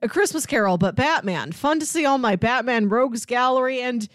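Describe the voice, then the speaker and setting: A woman's voice: a little high, brisk, no echo, studio quality. A woman co-hosting a movie podcast in casual, conversational talk. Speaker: podcast co-host.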